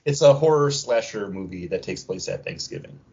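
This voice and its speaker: A man with a husky voice co-hosting a podcast in loose, casual chat. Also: lowish voice, expressive, fairly quick, a little mellow, muffled recording. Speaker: podcast co-host.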